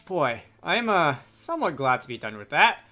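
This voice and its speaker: A man's voice: on the high side, big pitch swings, moderate pace, sharp tone. A man giving casual game commentary and reading dialogue aloud, with dry, joking asides. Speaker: let's play video creator. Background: game music.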